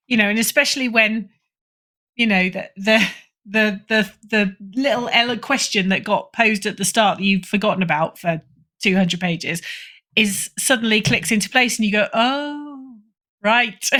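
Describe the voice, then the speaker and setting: A woman's voice: mid-range voice, a bit bright. A woman speaking as an editor guest in a casual interview, emphatic and opinionated in a conversational way. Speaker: podcast guest, fiction editor.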